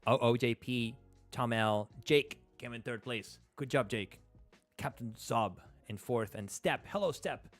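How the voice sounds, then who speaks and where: A man's voice: medium pitch, measured pace, soft background music. A man in his thirties, a native Spanish speaker, hosting a live stream and commenting casually as he plays, thinking aloud with many fillers and restarts. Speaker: livestream host. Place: small room at a desk. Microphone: close studio mic on a stand.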